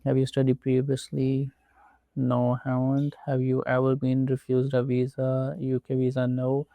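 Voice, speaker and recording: A man from Pakistan, not a native English speaker, narrating a screen walkthrough with slow, methodical step-by-step instructions. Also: mid-range voice, monotone, creaky, no room sound, highs cut off. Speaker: tutorial presenter.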